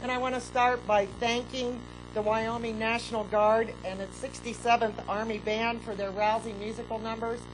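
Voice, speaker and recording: A woman park superintendent giving a formal, measured welcome speech at an outdoor ceremony, speaking into a podium microphone with occasional fillers. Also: on the high side, little pitch movement, background noise.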